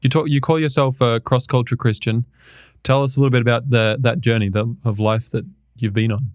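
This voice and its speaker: A man's voice: mid-range voice, steady tone, brisk, dry recording. A man hosting a podcast, asking questions in an easygoing way and chiming in with short affirmations. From Australia. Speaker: podcast host. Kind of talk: casual interview.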